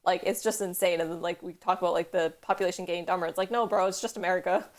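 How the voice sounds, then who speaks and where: Fast-talking young Canadian woman streamer. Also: on the low side, fairly even intonation. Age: young adult. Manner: fast, rambling, heavy use of "like", swears. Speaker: streamer host. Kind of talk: casual chat.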